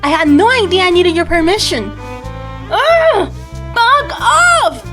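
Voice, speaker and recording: A woman's voice: very high voice, sing-song, slow, fairly bright tone, soft background music, exaggerated voice. A woman playing a feisty, sarcastic, defiant young woman in an amateur fantasy audio drama. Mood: irritated, sarcastic. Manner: sarcastic, exclamatory.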